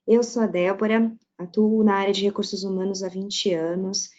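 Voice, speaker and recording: A woman who works in human resources introduces herself warmly to an online panel. Her voice is of middle pitch. The video call audio sounds slightly dull and muffled. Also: steady tone, normal speed. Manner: friendly, welcoming. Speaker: HR professional, panelist. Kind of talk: self-introduction on a web panel.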